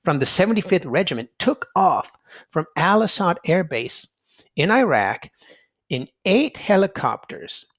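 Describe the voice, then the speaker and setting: Male narrator for a kids' read-aloud book: lively, slightly high voice, emphatic and explanatory. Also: measured pace. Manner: emphatic, explanatory, addressing young listeners.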